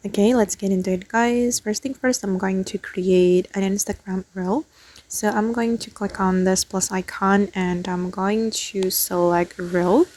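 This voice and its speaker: A woman's voice: medium pitch, steady tone, a little slow, darkish tone. A woman hosting a step-by-step how-to tutorial as a spoken voice-over. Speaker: tutorial host.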